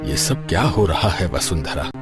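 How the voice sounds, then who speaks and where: A man's voice: deep voice, big pitch swings, normal speed, over loud music. A man playing a poor, humble Brahmin in a cartoon story, bewildered and pleading in a hesitant, deferential way. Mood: confused, worried. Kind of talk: Hindi moral cartoon story acting.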